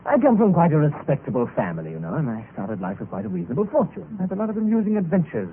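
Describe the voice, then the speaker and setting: A man with a British accent playing a ruined young gentleman, flamboyant, courteous and gaily fatalistic, in old-time radio drama style. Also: on the high side, huge pitch swings, rapid-fire. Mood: wry, resigned. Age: young adult. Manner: florid, courteous. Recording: tinny, hissy old radio recording.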